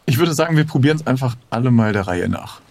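A man's voice: medium pitch, expressive, quick pace, a little mellow. Man thinking aloud in a roleplay. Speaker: player leading an improvised pirate adventure game. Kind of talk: improvised tabletop roleplay talk.

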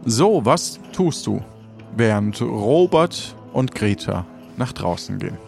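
A man's voice: lowish voice, sing-song, takes it slowly, gravelly, darkish tone, soft background music. A man leading an improvised audio role-play as game master, narrating and prompting the player.

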